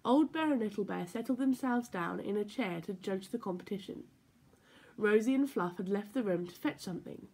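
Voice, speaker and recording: A woman reading a children's story aloud in an expressive, playful, emphatic way. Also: medium pitch, moderate pace, breathy edge, poor audio. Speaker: story time reader for children.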